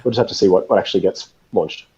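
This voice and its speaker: Dull-sounding, very low, mellow male interview guest. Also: fast talker, slightly rough. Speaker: interview guest, space technology expert. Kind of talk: casual explanatory interview talk. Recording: slightly dull, lo-fi audio.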